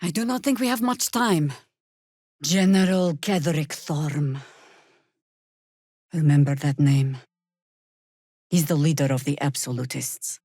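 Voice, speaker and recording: An actress playing a stern, wry, middle-aged druid veteran in a video game, speaking in a measured, commanding way. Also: female voice, deep voice, dark tone, no room sound, clean studio sound. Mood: stern warning. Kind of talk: video game character dialogue and spell shouts.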